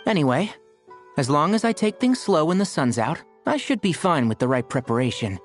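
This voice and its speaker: A man voicing a gentle, composed young male doctor with dry wit in a video game, polite and measured. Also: on the high side, sing-song. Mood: wry. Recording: music playing behind the voice.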